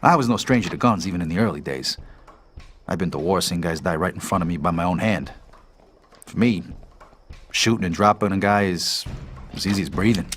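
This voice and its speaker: An actor playing a jaded American trucker and war veteran, narrating a hard-boiled crime game in a matter-of-fact, world-weary way. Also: male voice, deep voice, a little fast, long pauses, background noise, close to an everyday voice. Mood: cold, reflective. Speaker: narrator and player character.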